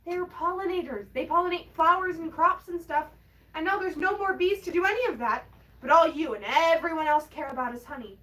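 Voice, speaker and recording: A woman's voice: extremely high pitch, a little fast, a bit bright, recorded from a distance, poor audio. A woman playing a distraught, indignant young woman in a naturalistic audio drama, with an emphatic, sarcastic, exasperated manner. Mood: accusing. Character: distraught, indignant young woman fretting over extinct bees.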